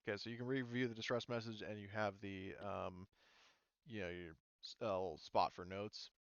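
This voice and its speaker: A man with a low, very clear voice talks casually as a tabletop game master. He stops and restarts as he thinks aloud. The sound is close and dry, slightly dulled by the microphone. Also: fairly even intonation, no echo, dull audio. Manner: halting, thinks aloud mid-sentence.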